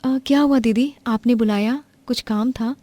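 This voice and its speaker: A woman voicing a meek, patient young daughter-in-law who speaks softly and politely. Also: fairly high pitch, fairly even intonation, normal speed, no echo, close to an everyday voice. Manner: soft, polite, submissive.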